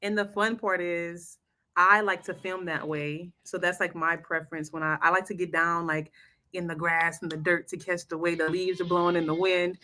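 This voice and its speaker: A woman chatting casually and playfully as co-host of a family farm vlog, a naturally fast talker. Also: lowish voice, little pitch movement, bright, forceful tone.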